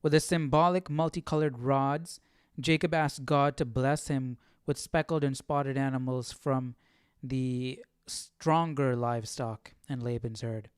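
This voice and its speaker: A man's voice: medium pitch, fairly even intonation, unhurried, clear, resonant tone, no room sound, studio quality. A man hosting a casual Bible study livestream, reading aloud and musing over it with informal questions. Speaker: livestream host.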